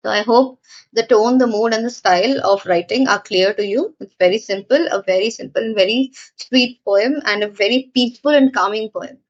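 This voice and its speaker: A woman's voice: on the high side, normal speed, lo-fi sound. A woman teacher explaining a poem to exam students, patiently repeating points with frequent fillers. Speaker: English teacher.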